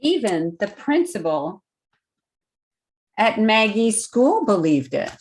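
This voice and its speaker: A woman hosting a children's storytime, reading a picture book aloud expressively and pausing to put questions to young listeners. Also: medium pitch. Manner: expressive, deliberate, simple phrasing with questions to children.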